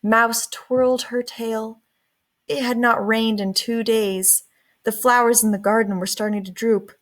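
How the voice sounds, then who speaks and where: A woman reading a children's story aloud in an expressive, deliberate narrating style. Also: a little high. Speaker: storybook reader.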